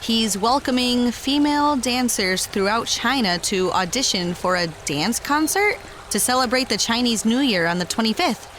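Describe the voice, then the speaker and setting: A woman's voice: on the high side, expressive, moderate pace, hardly any pauses, fairly bright tone, slight background noise. A woman playing a brash, wry young adventurer in an audio drama, with a slangy, offhand delivery.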